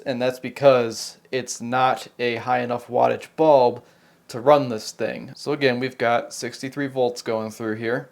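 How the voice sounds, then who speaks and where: An American man giving an unscripted, hands-on technical demonstration, talking casually and thinking aloud as he works through it as host. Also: medium pitch. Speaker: host of a repair video channel.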